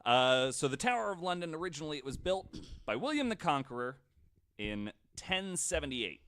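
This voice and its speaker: A man's voice: on the high side, very animated, normal speed, a bit bright. A man hosting a podcast, telling stories to co-hosts in a casual, joking, informal way with some swearing. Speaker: podcast host.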